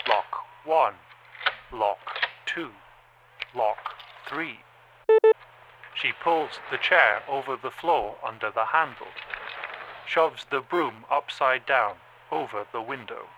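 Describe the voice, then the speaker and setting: Male actor as sentient surveillance camera in audio drama: very slow, deliberate, detached monotone, mid-pitched, with clear background noise. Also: close to an everyday voice. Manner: deliberate, halting, monotone reporting. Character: male security camera, cold detached slow-thinking machine observer.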